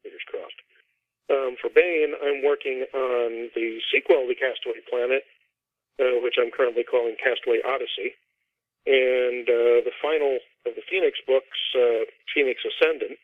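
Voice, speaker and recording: A man's voice: medium pitch, fairly even intonation, normal speed, dry recording. A middle-aged man, an author being interviewed, explains things at length in a conversational way over a band-limited, phone-like line. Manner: explanatory, talks at length about his fiction.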